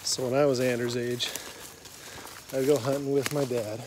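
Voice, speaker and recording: A man's voice: mid-range voice, moderate pace. A man in his thirties chatting casually while walking in the woods, filming himself on a phone held at arm's length. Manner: relaxed, chatty, joking with his son. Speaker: vlogger and father. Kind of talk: casual outdoor vlog chat. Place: outdoors in summer woods. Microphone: handheld phone at arm's length. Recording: outdoor insect noise behind. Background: crickets and insects.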